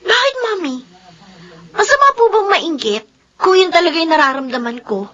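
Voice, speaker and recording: A woman's voice: very high-pitched, big pitch swings, moderate pace, a bit bright, muffled recording, full character voice. A woman playing an envious, pouting youngest daughter in a Filipino radio drama, complaining with sarcasm. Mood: hurt, envious.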